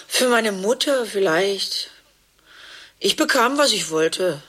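An actress playing a catty, sharp-tongued middle-aged half-sister in a radio play, speaking with a breathy voice and a sarcastic, gossipy manner. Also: female voice, mid-range voice, expressive, takes it slowly.

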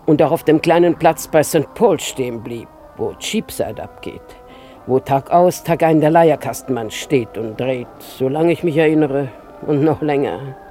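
A kindly older market woman in a 1950s radio drama. She tells her tale in a low voice for a woman, with faint music behind. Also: measured pace, warm tone. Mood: reminiscent.

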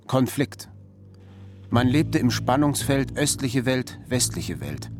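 An actor narrating a literary radio drama as a foreboding chronicler of a divided country. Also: male voice, medium pitch, very animated, takes it slowly. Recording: music behind the voice.

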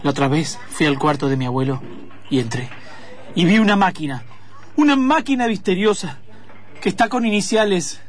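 A man's voice: sits high, animated, normal speed, pauses often, a little hiss or hum. A man playing a timid, deferential young student who talks hesitantly and apologetically in improvised radio theatre. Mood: hushed, revealing. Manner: hesitant, apologetic, repeats words.

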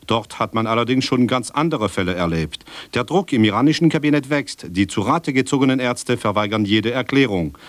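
A straight-faced newsreader, played by a male actor, delivers a formal radio bulletin. His mid-range voice sounds close and dry, with a measured, official, deadpan manner. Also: mid-range voice, no echo, lightly acted. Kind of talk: formal news bulletin reading.

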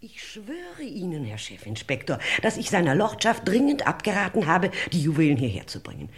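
A woman's voice: fairly low pitch, wildly swooping pitch, fairly quick, breathy edge, exaggerated voice. A woman playing a fussy, self-righteous elderly lady in a radio crime play, stilted, dramatic and long-winded. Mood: indignant, lamenting. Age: older. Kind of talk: 1950s-80s German radio crime play acting.

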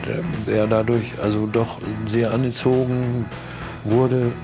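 A man's voice: mid-range voice, flat delivery, slow pace, music in the background. A man talking casually as an interviewee, hesitant and searching for his words. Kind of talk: casual, unscripted recollection.

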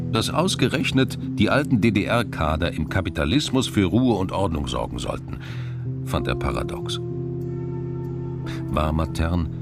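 An actor narrating a radio crime drama as a sober, wry storyteller. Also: male voice, low voice, full character voice.

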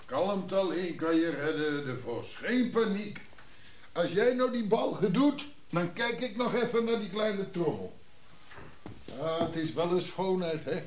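Calm craftsman, wistful, high-pitched, echoey room with faint noise, radio play. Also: measured pace. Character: calm, wistful male craftsman who admires old machines. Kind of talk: naturalistic Dutch radio drama acting. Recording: roomy echo, faint noise.

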